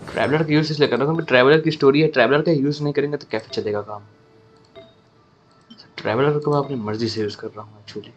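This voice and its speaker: A man's voice: medium pitch, very fast, lo-fi sound, faint music behind. A man with an Indian accent giving casual gameplay commentary as a gaming host.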